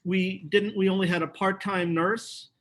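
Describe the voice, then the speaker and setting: Middle-aged man, high-pitched voice, professor, earnest conversational panel talk, video call. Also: little pitch movement, measured pace, fairly bright tone.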